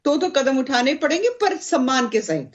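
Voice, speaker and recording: High-pitched emphatic woman panellist, dull sound. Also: lively intonation, moderate pace. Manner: emphatic, repeats phrases for stress. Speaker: political panellist defending the government. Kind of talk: TV debate argument.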